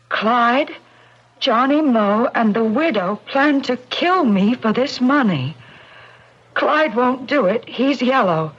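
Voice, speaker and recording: A husky, high-voiced defiant daughter. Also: female voice, fairly bright tone, heavily acted. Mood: urgent. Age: young adult. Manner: insistent, pointed questioning. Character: determined, defiant grown daughter seeking her mother's killer. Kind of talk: old-time radio mystery acting. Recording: muffled old radio drama recording.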